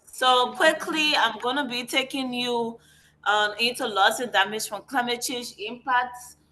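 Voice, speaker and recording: A woman's voice: on the high side, fairly even intonation, a little slow, pushed and bright, a little hiss or hum. A woman with a Liberian accent, speaking as a facilitator on a video call. Her manner is friendly and upbeat. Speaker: facilitator and climate negotiator. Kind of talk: online presentation. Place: noisy spot on a call.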